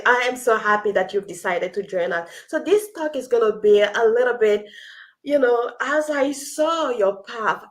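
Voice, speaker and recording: A woman hosting an unscripted live interview, effusive and given to repeating phrases for emphasis. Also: a little high. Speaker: host of a live leadership talk show.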